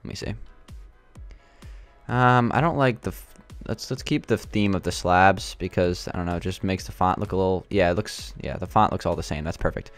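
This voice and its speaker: Young male gaming host, low voice, a touch raspy, hesitant, unhurried chatter. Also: soft background music. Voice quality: slightly raspy from yelling. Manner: thinking aloud, hesitant, many fillers. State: sore throat. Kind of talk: casual gameplay commentary.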